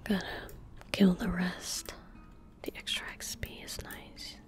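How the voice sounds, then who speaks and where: Young adult female, hushed whispery ASMR gamer, low-key and even, unhurried delivery. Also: faint music behind. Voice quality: soft, whispery, breathy. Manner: relaxed, unhurried murmuring. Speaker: ASMR gaming streamer. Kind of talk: soft-spoken ASMR game commentary. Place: small indoor room at a computer desk. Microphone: large microphone close to the mouth. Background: keyboard typing and mouse clicks.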